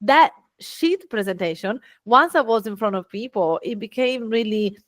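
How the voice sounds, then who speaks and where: A middle-aged woman with an Italian accent, a guest telling lively stories and acting out quoted dialogue. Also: normal speed, dry recording, studio quality. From Italy. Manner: lively, acts out quoted dialogue with big pitch jumps. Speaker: guest, VA agency founder. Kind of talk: animated storytelling in conversation.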